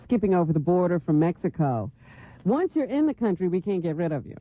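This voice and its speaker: A woman's voice: on the low side, animated, fairly quick. A woman reading news on a radio show in chatty banter, laughing often, on a lo-fi, phone-like broadcast recording. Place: New York radio studio.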